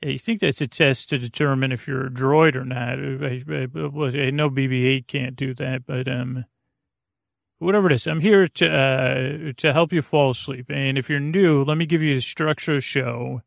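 Guy w really creaky gravelly voice, rambly goofy sleep podcast host, mumbles and loses his thread, super dry close sound. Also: medium pitch, fairly even intonation, normal speed, dry recording. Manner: meandering, hesitant, mumbling, trailing off mid-thought; silly, goofy. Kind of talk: rambling bedtime podcast monologue.

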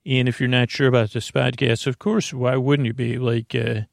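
A man hosting a bedtime podcast in a slow, creaky voice, wandering through gentle tangents and restarting his sentences. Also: mid-range voice, little pitch movement, no room sound, studio quality. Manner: slow, meandering, self-interrupting, gently joking. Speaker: sleep podcast host. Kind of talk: rambling bedtime monologue.

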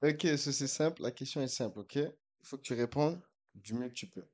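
A man's voice: mid-range voice, fairly quick. A man hosting a casual podcast, chatting in slangy mixed with English. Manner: slangy, mixing French and English. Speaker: podcast host. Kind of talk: casual chat, prank-style phone calls.

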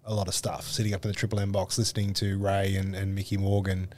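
A man's voice: deep voice, monotone, fast talker, darkish tone, slight background noise. Laid-back, rambling man. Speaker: podcast co-host. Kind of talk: casual sports podcast chat.

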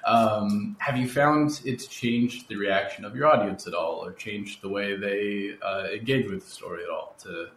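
A man's voice: lowish voice, moderate pace, hardly any pauses, fairly bright tone, slight background noise. A man hosting a conversational interview, speaking in articulate, thoughtfully phrased questions and remarks. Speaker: podcast interviewer, host. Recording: roomy echo, clear background ambience.

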